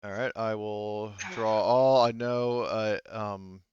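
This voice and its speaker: A man running a tabletop roleplaying game as game master, talking casually and haltingly as he thinks aloud. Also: on the low side, steady tone, a little mellow, dull audio, slight background noise.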